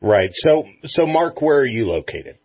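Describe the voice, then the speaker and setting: A man's voice: medium pitch, big pitch swings, measured pace, slightly rough, no room sound, dull audio. A middle-aged man hosting a radio show, chatting casually as he interviews a guest.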